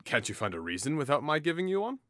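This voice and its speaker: A man's voice: fairly high pitch, sing-song, fast talker, bright, forceful tone. A man playing a hot-headed, mocking young nobleman in an audio drama, with a theatrical, taunting delivery. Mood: scornful, provoking.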